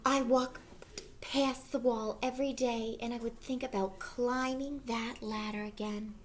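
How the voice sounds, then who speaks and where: A woman voicing an anxious, wistful egg who tells his own storybook tale in an earnest, expressive way. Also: on the high side, measured pace, slight background noise, close to an everyday voice. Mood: sad, fearful. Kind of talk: picture-book read-aloud.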